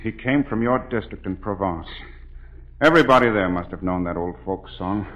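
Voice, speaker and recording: A man's voice: low-pitched, moderate pace, muffled recording, close to an everyday voice. An actor in a 1940s radio drama playing an earnest, urgent male suitor with a clipped, dramatic delivery. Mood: grave, consoling. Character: earnest, urgent young male suitor searching for his beloved. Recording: noisy 1940s radio broadcast.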